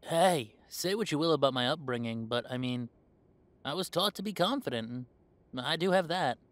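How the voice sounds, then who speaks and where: A man's voice: a little high, expressive, moderate pace, dry recording, natural voice. A man playing an easygoing, confident young werewolf in a roleplay audio drama, talking in a chatty, hesitant, conversational way. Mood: amused, self-assured. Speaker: voice actor.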